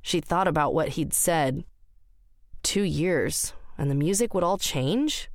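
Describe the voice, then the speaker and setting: Low-pitched woman narrating fiction. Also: slow, no room sound, clean studio sound. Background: music.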